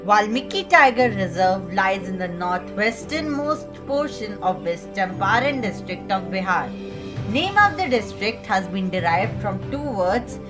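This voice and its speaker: A woman with an Indian accent presenting a puppet show as a guide, reading scripted educational narration. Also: medium pitch. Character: puppet guide named Alak presenting Bihar. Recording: music behind the voice.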